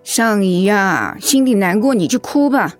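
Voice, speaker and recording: An actress in a Mandarin radio drama playing a caring older mother-in-law, soothing, motherly and gently wry. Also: mid-range voice, lively intonation, measured pace, soft background music, close to an everyday voice. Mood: comforting.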